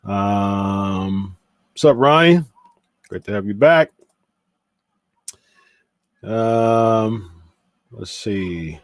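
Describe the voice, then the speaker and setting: A man's voice: fairly low pitch, lively intonation, very slow, long pauses, no echo. A man hosting a live watch-party stream, casually chatting and reading viewer comments aloud with lots of fillers. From Ohio, USA.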